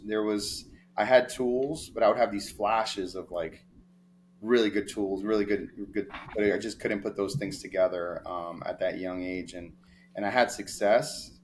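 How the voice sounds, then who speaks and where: A young man from New York, a sports agent telling stories in a relaxed, rambling interview with many fillers. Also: on the low side, a little hiss or hum. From New York, of Dominican family. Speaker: baseball player agent, guest. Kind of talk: casual interview storytelling.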